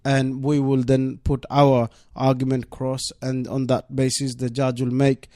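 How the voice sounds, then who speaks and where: A man's voice: mid-range voice, completely flat delivery, unhurried, dark tone, dry recording. A man whose first language is Bengali, a deputy mayor answering interview questions earnestly in a radio studio and leaning on "obviously". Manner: earnest, leans on "obviously", insistent.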